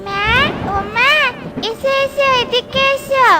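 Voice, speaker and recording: Squeaky little kid bird, pleading and sweet natured, kids cartoon w music behind. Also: female voice, fairly even intonation, slow pace. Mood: eager, concerned. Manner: childlike, pleading. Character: kind, anxious little child bird. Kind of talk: children's cartoon dubbing. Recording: loud children's music behind.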